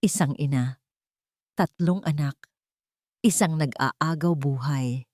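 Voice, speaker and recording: A woman's voice: sits low, takes it slowly, darkish tone, dry recording, studio quality. A woman narrating a scripted romantic drama as a storyteller, in a dramatic style.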